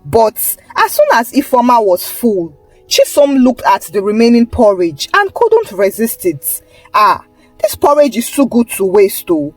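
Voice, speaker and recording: A woman's voice: fairly high pitch, no echo, low-quality recording. A woman storyteller reading a scripted folktale narration.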